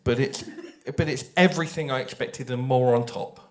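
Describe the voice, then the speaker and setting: Man, medium pitch, enthusiastic, halting, unscripted panel talk, echoey room. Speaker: audio drama creator on a panel.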